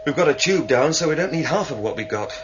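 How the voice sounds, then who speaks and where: A male actor as a practical starship crewman who doubts everything and is easily exasperated. His delivery swings in pitch through old sci-fi radio drama dialogue, on a dull, noisy recording. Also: lively intonation, fast, lightly acted. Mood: evasive. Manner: dismissive, matter-of-fact.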